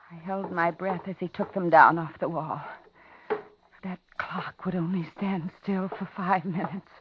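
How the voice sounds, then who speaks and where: A woman's voice: fairly low pitch, fairly even intonation, a little slow, noisy background, natural voice. An actress playing a frightened, outwardly polite captive woman who narrates her ordeal breathlessly in a 1950s radio thriller. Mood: tense, hushed dread. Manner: dramatic, breathless first-person suspense narration. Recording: dull 1950s radio drama, loud music.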